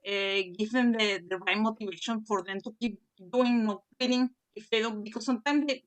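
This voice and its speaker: Hesitant woman, slightly high voice. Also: a bit bright. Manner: hesitant, full of fillers and restarts. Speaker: parent panelist. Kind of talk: panel discussion.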